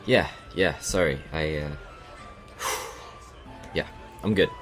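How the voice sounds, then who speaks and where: A man playing a nervous, hesitant crew member in a fantasy audio drama, halting and uneasy as he talks. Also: a bass voice, fairly bright tone, faint music behind, full character voice.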